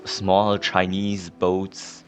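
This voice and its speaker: A man's voice: low voice, monotone, extremely slow. A man giving casual, laconic and hesitant commentary while playing a story game. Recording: game music faintly behind.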